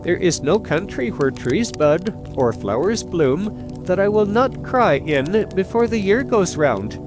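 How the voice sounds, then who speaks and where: High-pitched proclaiming magical cuckoo. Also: male voice, big pitch swings, measured pace, over music. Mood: lofty, earnest. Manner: lofty, declamatory, old-fashioned formal speech. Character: magical talking cuckoo, wise, proud spring herald. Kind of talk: fairy tale character voice.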